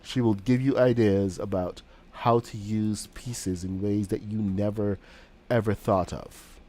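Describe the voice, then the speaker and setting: A man's voice: fairly low pitch, fairly even intonation, unhurried, warm tone. A man streaming a building game, talking casually while he builds, with a cold that makes him sniffle, rambling with lots of ums and restarts. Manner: rambling, with frequent fillers and restarts.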